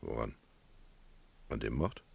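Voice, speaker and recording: A man's voice: rumbling low, lively intonation, slow pace, vocal fry, a little mellow, slightly rough, full character voice. A man playing a stubborn, uneasy snack kiosk keeper in a German radio play, plain-spoken and curt. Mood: subdued, uneasy.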